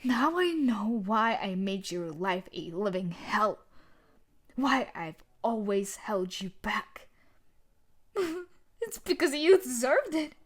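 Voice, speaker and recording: A woman performing a dramatic audio roleplay as a tough yandere bully turned desperate, with intense emotional delivery and a breathy voice that often sinks toward whispers. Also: a little high, big pitch swings, slow pace, exaggerated voice. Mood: bitter, accusing. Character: tough sadistic yandere bully wife, now desperate and remorseful.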